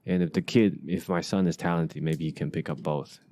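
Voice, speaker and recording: Low-voiced halting man. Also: fairly even intonation, mellow, a little hiss or hum. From Asia. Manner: halting, many restarts and fillers. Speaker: podcast host. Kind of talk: casual conversation.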